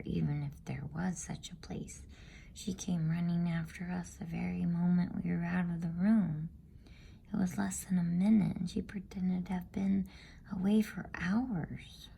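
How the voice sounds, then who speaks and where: A woman reads as a sensible storybook schoolgirl, worried and sceptical. The voice is breathy and mellow, reasoning earnestly and slowly over a noisy background. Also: lowish voice, steady tone. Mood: insistent, doubting.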